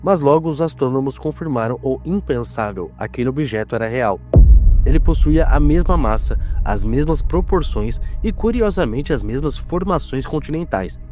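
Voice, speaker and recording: A Brazilian man narrates a scripted horror documentary in a mid-pitched voice. His delivery is solemn and measured, with dramatic weight, and soft music plays underneath. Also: lively intonation.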